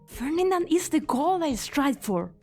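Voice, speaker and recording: A woman's voice: high-pitched, very animated, a little slow, darkish tone, soft background music, full character voice. A woman in an amateur fan dub playing an earnest, idealistic young female scientist. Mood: earnest, defensive.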